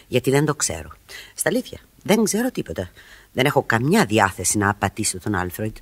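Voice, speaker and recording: A woman's voice: low-pitched, full character voice. An actress playing a flirtatious, proud married society woman in Greek radio theatre, coy, teasing and theatrical.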